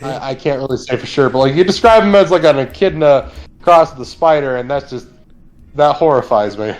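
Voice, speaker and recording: Laid-back superhero voiced by a man, dry wisecracks, lots of drawn-out filler words, middle-pitched ordinary voice. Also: a little mellow, close to an everyday voice. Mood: easygoing banter. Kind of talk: improvised in-character chat.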